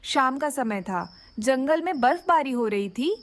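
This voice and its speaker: A woman narrates a scripted children's cartoon tale. Her voice is high, with very lively, swinging intonation. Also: a little slow, no room sound.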